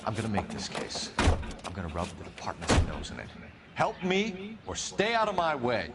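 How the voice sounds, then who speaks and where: An actor playing a driven, righteous police detective in a crime drama game, firm and authoritative, with loud background noise. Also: male voice, medium pitch, huge pitch swings, moderate pace, a loud crowd. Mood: determined, defiant. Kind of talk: dramatic video game acting.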